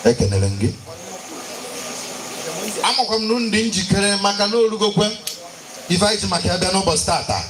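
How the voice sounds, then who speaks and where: A male master of ceremonies with a Nigerian Igbo accent addresses a live audience in a fairly high, swinging voice. He phrases things like a showy announcer and mixes Igbo with English. The recording is a noisy live event captured over a stage microphone. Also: sing-song, fast, pauses often.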